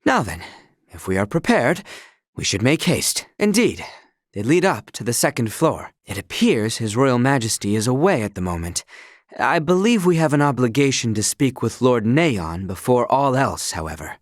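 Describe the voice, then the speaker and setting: A man's voice: mid-range voice, sing-song, moderate pace, no room sound, studio quality, natural voice. A man voicing an earnest, dutiful young royal knight in a video game, with courtly, precise, old-fashioned diction. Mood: earnest, composed. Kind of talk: video game character voice lines.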